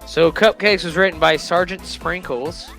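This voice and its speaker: A man's voice: high-pitched, normal speed, creaky, sharp tone, soft background music. A man giving casual, chatty commentary as host of a reaction video, emphatic and repeating himself.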